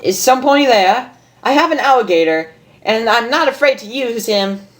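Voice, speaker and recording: A woman voicing a bubbly, mischievous female cartoon pony in an excitable, playful, sing-song way. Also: on the high side, normal speed, slight background noise, voice pushed up. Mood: wary, curious.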